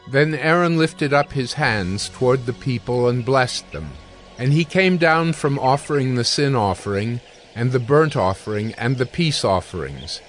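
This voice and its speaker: A man giving scripted scripture narration in a measured, solemn manner. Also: medium pitch. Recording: background music under narration.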